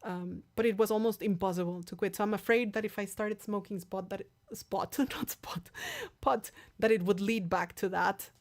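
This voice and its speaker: A woman's voice: mid-range voice, fairly even intonation, normal speed, few pauses. A woman with a Mexican accent, a native Spanish speaker, chatting casually with viewers as the singer hosting a live stream, candid and full of restarts. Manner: chatty and candid, many restarts and repeated words.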